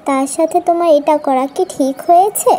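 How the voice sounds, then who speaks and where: A woman's voice: very high-pitched, a little fast, warm tone. A woman voicing a kind, outspoken and earnest little village girl in a cartoon. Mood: indignant.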